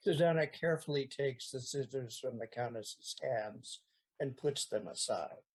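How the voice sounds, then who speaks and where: A narrator with a mid-range voice reads stage directions slowly. It is scripted narration, setting scenes for an audio play. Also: medium pitch, husky.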